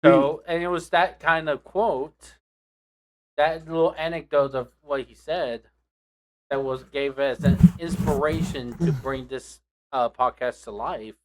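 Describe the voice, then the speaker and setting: A young man hosting a casual podcast chat with friends, talking in a relaxed, rambling way with restarts. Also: fairly high pitch, measured pace, a little hiss or hum, rough recording. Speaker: podcast host.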